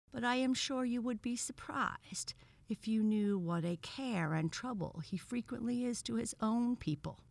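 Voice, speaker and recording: A woman's voice: fairly low pitch, a little mellow, dry recording. A woman voicing a pompous, severe male frog professor who lectures with scorn in a children's storybook.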